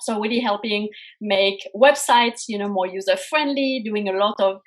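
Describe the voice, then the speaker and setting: A woman's voice: mid-range voice, normal speed. A woman from France speaking English with a French accent, an earnest career coach telling her story in an interview. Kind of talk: podcast interview.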